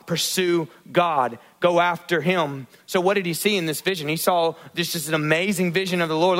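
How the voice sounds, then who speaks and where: A male pastor preaching a sermon, asking the congregation questions and acting out quotes in lively voices. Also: high voice. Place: church.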